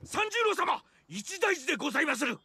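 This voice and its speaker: Game bit part: frantic villager, urgent cries, piercing, wildly swooping pitch. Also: male voice, sing-song, fast talker, heavily acted. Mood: frantic alarm. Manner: urgent shouting. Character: panicked, frantic villager crying for help. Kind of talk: samurai game drama acting.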